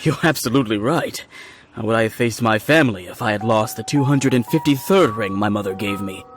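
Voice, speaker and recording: A man voicing a wealthy, mild innkeeper in an anime game, polite and earnest, with a gravelly voice. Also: fairly low pitch, fairly quick, close to an everyday voice. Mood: apologetic. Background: game music.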